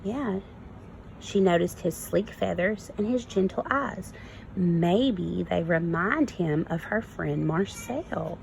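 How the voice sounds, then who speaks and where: A woman kindergarten teacher reading a story to young children, expressive and instructive, prompting them with questions. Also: lowish voice, slow, gravelly, slight background noise, low-quality recording. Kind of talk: read-aloud lesson for young children.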